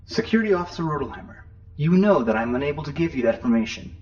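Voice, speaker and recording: A man's voice: a little high, lively intonation, quick pace, darkish tone, lightly acted. Guy doing snooty spaceship computer, dull filtered sound, super formal and pedantic, sci-fi audio drama. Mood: officious, displeased. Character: prim, officious male ship computer, coolly sarcastic. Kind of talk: science fiction audio drama acting.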